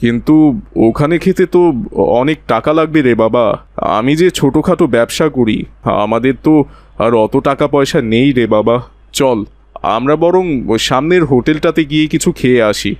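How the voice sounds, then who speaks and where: A humble, kindly older guardian from a cartoon, played by a man. He speaks at a middling pitch in a gentle, fatherly manner, recorded close and dry. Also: expressive, moderate pace, dry recording, natural voice. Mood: hesitant, indulgent. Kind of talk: cartoon voice acting.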